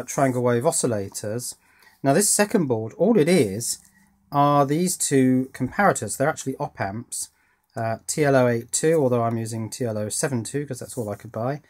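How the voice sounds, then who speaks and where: Man, middle-aged, medium pitch, informal hobbyist explainer, thinking aloud. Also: a little mellow. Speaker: electronics hobbyist and presenter. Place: indoor workbench.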